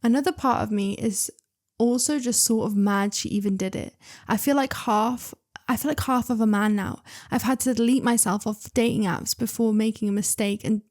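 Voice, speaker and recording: A woman's voice: a little high, moderate pace. Chatty woman reading stories aloud. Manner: chatty, excitable, interrupts herself with asides. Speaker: reader and channel host.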